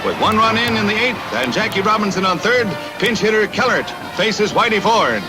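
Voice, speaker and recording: An American man announces sports in classic 1950s newsreel fashion, his voice pitched high. The scripted delivery is punchy and dramatic. Loud music plays under the vintage soundtrack. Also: expressive, moderate pace. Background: loud music under the narration.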